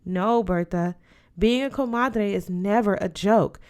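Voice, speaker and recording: A woman's voice: mid-range voice, measured pace, darkish tone, lightly acted. A woman voicing an older godmother aunt in an audiobook, firm, affectionate and dutiful, counselling emphatically. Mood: firm, wise. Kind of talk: audiobook character voice.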